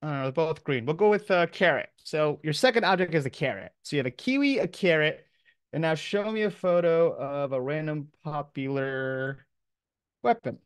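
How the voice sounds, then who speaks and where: A man's voice: on the high side, animated, moderate pace, clear, resonant tone, no echo, clean studio sound. A man giving an informal lecture as a professor, talking in a casual, off-the-cuff, conversational way.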